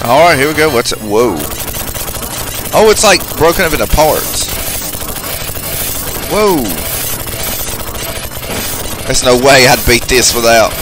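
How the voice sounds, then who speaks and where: A man's voice: mid-range voice, animated, slow pace, long pauses, poor audio. A man giving casual, off-the-cuff commentary as he goes through a video game. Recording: game music behind the voice. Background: video game music.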